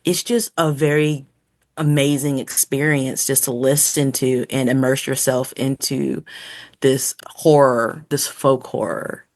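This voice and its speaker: A woman's voice: extremely low pitch, steady tone, a little slow, darkish tone, no echo. A woman co-hosting a podcast, talking in a casual, conversational way. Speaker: podcast co-host. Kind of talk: casual chat.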